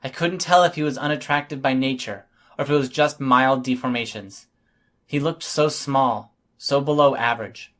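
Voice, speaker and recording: A man's voice: mid-range voice, normal speed, fairly bright tone. A man reading scripted first-person fiction as an audiobook narrator.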